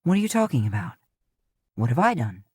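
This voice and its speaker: A woman's voice: a bass voice, sing-song, a little fast, mellow, breathy edge, no room sound, studio quality, pitched below the performer's own voice, heavily acted. A woman voicing a cocky, teasing young man, the chief's son and heir. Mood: earnest. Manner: teasing, swaggering.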